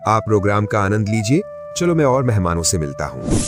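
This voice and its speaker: A man playing a rich, snobbish, scornful elder brother in an animated moral story, speaking haughtily. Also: lowish voice, a little fast, music in the background. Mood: curt.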